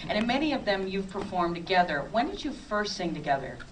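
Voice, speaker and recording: A woman's voice: mid-range voice, big pitch swings, fairly quick. A woman hosting an opera broadcast intermission, interviewing singers with enthusiastic affirmations and reading a scripted intro. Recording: distant mic with faint ambient noise.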